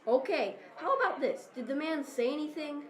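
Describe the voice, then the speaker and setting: A woman's voice: high voice, lively intonation, normal speed, reverberant. A woman playing an earnest, inquisitive detective in an amateur audio drama. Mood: businesslike.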